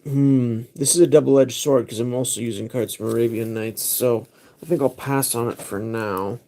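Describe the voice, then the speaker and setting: A man's voice: medium pitch, steady tone, normal speed, warm tone, slight background noise. A man hosting a casual livestream, thinking aloud as he picks cards. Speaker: livestream host.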